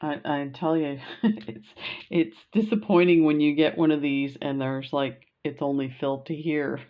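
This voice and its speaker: A woman's voice: sits low, steady tone, normal speed, muffled recording. A middle-aged woman with a Canadian accent chatting casually and gushing as she unboxes beads for her vlog, with plenty of fillers. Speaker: beading vlogger.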